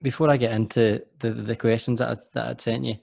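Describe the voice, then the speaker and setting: A man's voice: mid-range voice, level tone, fairly quick, vocal fry, a little mellow, no echo. A man hosting a podcast interview, chatty and jokey, often restarting his sentences. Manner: rambling, self-correcting, jokey. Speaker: podcast host.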